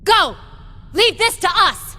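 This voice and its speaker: A woman's voice: very high-pitched, big pitch swings, takes it slowly, noisy background, heavily acted. An actress playing a defiant, hot-headed woman fighter in a video game, blunt and challenging. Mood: urgent. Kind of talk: English video game dub acting. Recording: echoey hall reverb.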